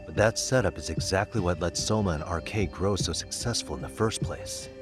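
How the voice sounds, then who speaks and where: An actor playing a level-headed detective in an English game dub, measured and explanatory as he briefs his allies. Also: low voice, music in the background, lightly acted. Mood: serious, steady.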